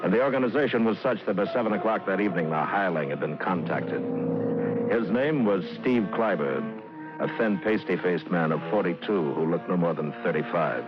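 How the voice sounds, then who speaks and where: A man narrates a vintage radio play in a low, measured voice. The recording is muffled, with loud music behind his dramatic delivery.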